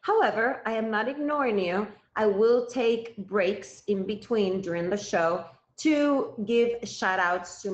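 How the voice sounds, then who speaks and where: A woman in her thirties from Cuba, a native Spanish speaker, hosting a live stream and chatting directly with her viewers. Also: medium pitch. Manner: chatty, addresses viewers directly, thanks gifters. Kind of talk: casual live stream chat. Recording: roomy, slightly dull sound.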